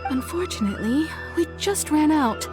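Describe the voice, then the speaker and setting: A woman's voice: high voice, expressive, moderate pace, over music. An actress playing a courteous, earnest young female shrine maiden in an anime-style video game, attentive and polite. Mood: helpful.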